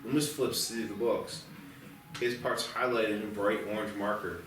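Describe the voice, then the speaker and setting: Low-voiced man narrating in an echoey room. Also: steady tone, measured pace, fairly bright tone, a little hiss or hum. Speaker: screenplay narrator at a table read. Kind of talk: scripted narration, reading stage directions. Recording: distant echoey room, clear music behind.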